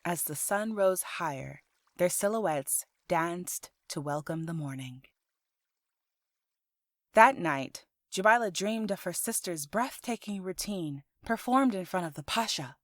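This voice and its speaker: A woman's voice: fairly low pitch, lively intonation, unhurried, no room sound, clean studio sound. A woman reading scripted audiobook narration as the storyteller of a fiction podcast.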